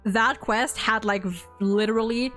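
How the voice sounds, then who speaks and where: A woman hosting a stream, chatting casually and gushing in an animated, blunt, sweary way. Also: a little high, unhurried, faint music behind. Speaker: host of a game ranking stream.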